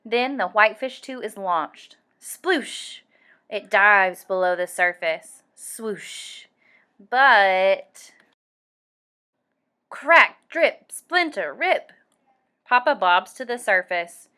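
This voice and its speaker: A woman reading a picture book aloud for young children, animated and deliberate, acting out the sound effects. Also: medium pitch, clear, resonant tone. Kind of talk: expressive read-aloud storytelling for young children.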